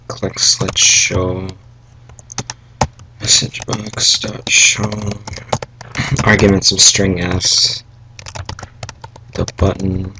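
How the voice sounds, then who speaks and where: Low, flat-voiced young man, a little raspy. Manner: rambling, halting, with fillers and asides. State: slightly raspy from salty food. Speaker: tutorial maker. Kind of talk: casual screen-recorded coding tutorial. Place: home room, family noise around. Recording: faint background noise, keyboard and mouse clicks.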